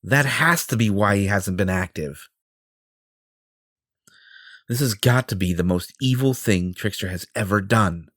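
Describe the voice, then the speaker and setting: A man's voice: lowish voice, measured pace, long pauses, a bit bright, dry recording, studio quality. A man playing a vain, possessive superhero in an audio roleplay, theatrical and self-important, with a flirtatious streak. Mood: scheming, smug.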